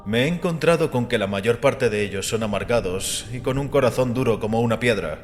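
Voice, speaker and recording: A man playing a calm, dangerous martial stranger, terse and measured, scornful of priests, in an audio drama. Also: mid-range voice, long reverb tail, soft background music, lightly acted. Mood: quiet scorn. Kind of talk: audiobook character acting.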